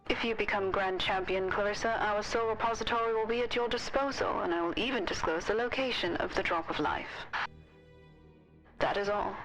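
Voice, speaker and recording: A woman's voice: medium pitch, pitched below the performer's own voice, close to an everyday voice, poor audio. Woman as a formal, scheming game villain. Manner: formal, theatrical, condescending wording. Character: controlling, scheming championship president, coolly menacing. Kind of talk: amateur video game villain voicing.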